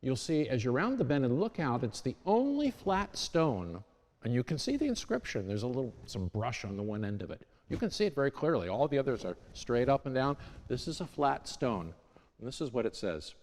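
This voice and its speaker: A man at an everyday pitch gives an unscripted history talk to a church congregation. He talks conversationally, with restarts and small self-corrections along the way. His voice rings with the long reverb of the church. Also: very animated, fairly quick, darkish tone.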